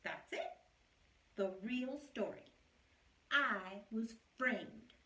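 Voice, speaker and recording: A woman's voice: on the high side, animated, very slow, bright, forceful tone, full character voice. A woman teacher reading a storybook aloud in the voice of a male wolf who claims he was framed, telling it in a chatty, wry, self-justifying way. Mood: aggrieved, chatty. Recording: roomy echo, distant mic.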